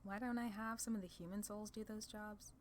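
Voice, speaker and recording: A woman's voice: mid-range voice, fairly even intonation, brisk, slight background noise, natural voice. A woman playing a haughty, sly female demon lord in an ASMR roleplay, condescending and playfully theatrical. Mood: businesslike, amused.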